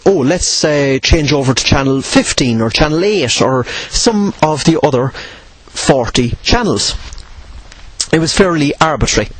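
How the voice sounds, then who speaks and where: A man with a slightly husky voice giving an informal tutorial, explaining in a measured way and posing questions he then answers. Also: fairly high pitch, no room sound, rough recording. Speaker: presenter of instructional radio videos.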